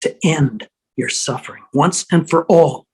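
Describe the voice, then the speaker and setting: A performer in an online table read playing a menacing, bloodied dead older brother, with slow, insinuating, taunting delivery over a video call. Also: medium pitch, slightly rough. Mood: accusing, menacing. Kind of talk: fan table read acting.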